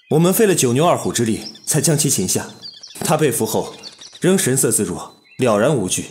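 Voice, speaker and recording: A man's voice: medium pitch, big pitch swings, moderate pace, darkish tone, slight background noise, natural voice. A man playing a polite, level-headed young bandit fighter in a Chinese period audio drama, speaking in a composed, formal way. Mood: admiring report.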